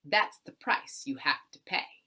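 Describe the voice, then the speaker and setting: A forceful, blustering folk-tale landowner acted out by a woman storyteller. He is greedy and bossy, pushing each phrase out loudly and deliberately, scheming for the better share. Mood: smug, bossy. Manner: loud, bossy, spluttering when thwarted.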